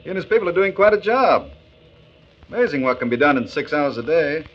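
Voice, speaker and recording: A brisk, fair-minded American boss in an old radio drama. His plainspoken lines swing in a lively, slightly high voice, on a muffled vintage recording. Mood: pleased. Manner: businesslike, plainspoken. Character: fair-minded, brisk male plant manager. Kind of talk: 1940s radio drama acting. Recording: dull 1940s radio recording.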